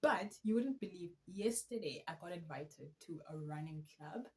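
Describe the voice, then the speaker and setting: A woman's voice: fairly low pitch, expressive, normal speed. A woman in her thirties, Afrikaans her first language, chatting casually to camera about her studies and teaching, with self-mocking asides. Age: 30s. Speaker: vlogger and student teacher.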